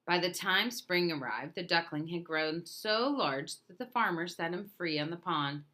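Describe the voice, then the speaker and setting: A woman reading a children's storybook aloud in an expressive, emphatic way. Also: lowish voice, moderate pace. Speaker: storybook reader.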